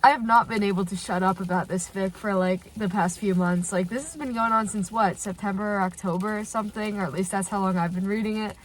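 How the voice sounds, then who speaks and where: A woman's voice: mid-range voice, brisk, hardly any pauses, a little hiss or hum, low-quality recording. Stuffy-nosed woman gushing in her car. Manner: gushing and excitable, many filler "like"s. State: stuffy and nasal from a cold. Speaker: channel host reacting to fan fiction. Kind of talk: casual reaction vlog. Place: inside a parked car.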